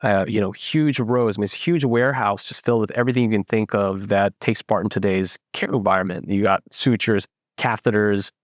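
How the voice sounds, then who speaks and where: A young man from the Philippines, a startup founder, telling his story in a relaxed interview with lots of fillers and restarts. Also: on the low side, a little fast, few pauses, no room sound, clean studio sound. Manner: reflective, many fillers and restarts. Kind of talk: casual interview.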